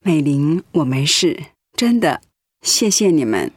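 An actress playing an ailing, selfless pastor's wife and mother in a radio drama, with a weak, breathy voice. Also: low-pitched, big pitch swings, slow pace, a little mellow, dry recording, studio quality, full character voice. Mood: weakly reassuring.

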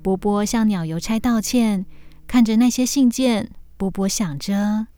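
A woman narrating a scripted children's story in an expressive storytelling manner. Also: mid-range voice, unhurried, soft background music.

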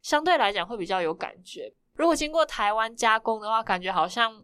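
A woman's voice: a little high, lively intonation, brisk, fairly bright tone, clean tone. A woman asking questions as the host of a casual podcast interview.

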